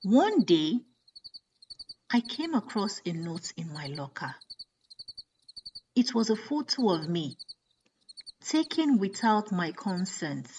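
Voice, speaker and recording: A woman's voice: medium pitch, a little hiss or hum. Woman telling a dramatic story, takes her time, voice moves up and down a lot, birds chirping behind. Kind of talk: dramatic first-person scripted narration.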